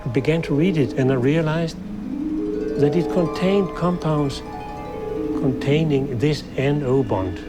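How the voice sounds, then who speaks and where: A man's voice: fairly high pitch, measured pace, loud music behind the voice. An older man with a Danish accent, a scientist recounting his experiences in an interview. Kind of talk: recollective interview storytelling.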